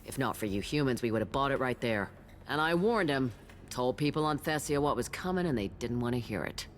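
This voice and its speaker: A woman's voice: very deep voice, animated, brisk. A woman playing a blunt, salty old alien matriarch who tends bar in a video game, world-weary in manner. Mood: bitter, wry. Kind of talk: video game dialogue acting.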